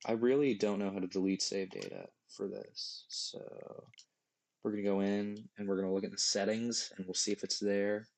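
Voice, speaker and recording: A man with a hoarse, worn-out voice, rambling goofily through a casual live stream while he plays a game. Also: lowish voice, little pitch movement, moderate pace. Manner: rambling, goofy, jokey asides. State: hoarse, losing his voice.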